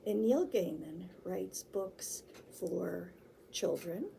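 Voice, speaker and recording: A woman hosting a children's storytime, talking and reading aloud in simple, deliberate phrases for young listeners. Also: lowish voice, creaky, dark tone, slight background noise, poor audio. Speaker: children's librarian, storytime host. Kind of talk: picture-book read-aloud for small children.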